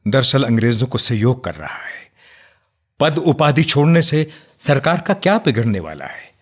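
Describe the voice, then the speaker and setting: An actor in a radio play playing an earnest, outspoken nationalist man who debates firmly but respectfully, in deliberate, declamatory phrases. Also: mid-range voice, close to an everyday voice. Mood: reproachful.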